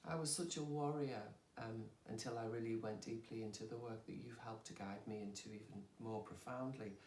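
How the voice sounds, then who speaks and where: A woman's voice: rumbling low, fairly even intonation, fairly quick, darkish tone, slight background noise. A woman, a yoga teacher, talking candidly and expressively about her own healing and laughing at herself. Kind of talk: candid personal conversation. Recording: roomy sound from a distant mic.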